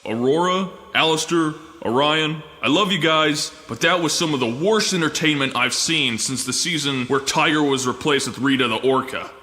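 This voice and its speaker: Goofy, bright big lumberjack guy acted by a man. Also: on the high side, moderate pace, few pauses, big-room sound. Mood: cheerful, boisterous. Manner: boisterous, rambling. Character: goofy cheerful big lumberjack man aged thirty, easily scared. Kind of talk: comic audio drama acting.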